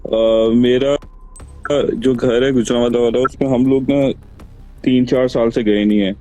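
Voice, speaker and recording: A man's voice: medium pitch, fairly even intonation, unhurried, mellow. A man casually sharing a personal story as a guest. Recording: dull band-limited audio, background music. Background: music under the voice.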